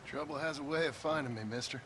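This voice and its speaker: A man's voice: a little high, fast, noisy background, close to an everyday voice. A man playing a tough, world-weary cowboy gunman in a video game, dry, blunt and unimpressed. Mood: dry, wary. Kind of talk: dramatic video game acting.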